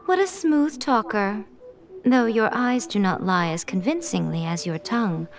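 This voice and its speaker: A woman's voice: mid-range voice, lively intonation, measured pace. A woman voicing a sly, teasing female shrine priestess in a video game, with a playful, knowing manner. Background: game music.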